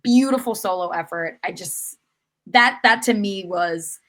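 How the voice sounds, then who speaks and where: A woman's voice: mid-range voice, measured pace, a bit bright. A woman giving casual soccer analysis as a podcast panelist, explaining things conversationally and correcting herself as she goes.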